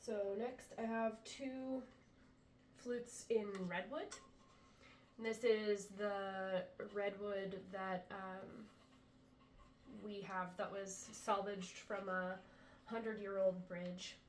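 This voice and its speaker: A woman's voice: mid-range voice, slow, distant mic, a little hiss or hum. A woman demonstrating handmade flutes in a casual, chatty way, sounding a little congested after a cold. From US Pacific Northwest. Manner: chatty, relaxed, many ums. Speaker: flute maker showing her products. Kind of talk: casual product demo. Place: indoor room, talking to camera. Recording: echoey room, voice from off-mic.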